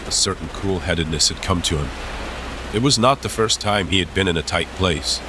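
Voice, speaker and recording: A man narrating a dramatized audiobook in a measured, suspenseful storytelling style. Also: deep voice, very noisy.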